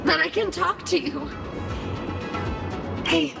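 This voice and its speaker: Earnest nervous girl in a game, really high voice, heavy creak, halting delivery. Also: lively intonation, music in the background, heavily acted. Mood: sad, grateful. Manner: hesitant, halting. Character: earnest, anxious young woman stricken by a curse. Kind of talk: video game voice acting.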